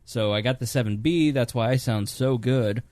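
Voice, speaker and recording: A man hosts a podcast from a home studio, speaking into a close studio microphone. His voice sits at an ordinary middle pitch, and the sound is dry and close. He rambles a little, with restarts and self-deprecating asides as he walks through gear. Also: moderate pace, darkish tone, no room sound. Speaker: podcast host. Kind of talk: casual studio chat. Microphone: studio dynamic microphone.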